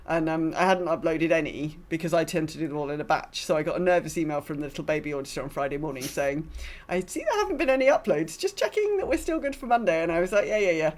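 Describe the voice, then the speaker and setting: A woman's voice: low voice, sing-song, fast talker. A woman co-hosting a podcast in casual chat about gaming, informal and rambling, with a self-deprecating streak. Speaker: podcast co-host.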